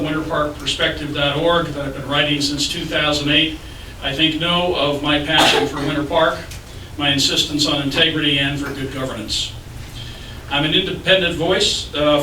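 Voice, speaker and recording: A man giving a measured, businesslike opening statement as a candidate at a public debate, with frequent filler sounds. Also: a little high. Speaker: city commission candidate. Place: public library meeting room. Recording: echoey room, distant mic, noisy.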